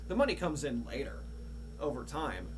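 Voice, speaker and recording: A man's voice: a little high, huge pitch swings. A man hosting a casual podcast chat, swearing freely and often restarting his sentences. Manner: profane, halting with repeated starts. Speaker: podcast host. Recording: audible background noise and hum.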